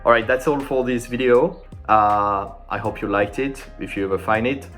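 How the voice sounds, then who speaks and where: A man speaking English as a second language, giving a casual, informal French lesson in an animated way. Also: mid-range voice, normal speed, soft background music. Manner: animated and informal, acting out sample dialogues.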